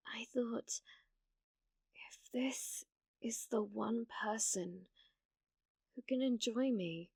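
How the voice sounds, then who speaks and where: Woman acting a halting, lovesick British yandere streamer. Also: medium pitch, no echo, clean studio sound. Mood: confessional, wistful. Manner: halting, breathy, pleading, slipping into whispers. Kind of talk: ASMR roleplay monologue.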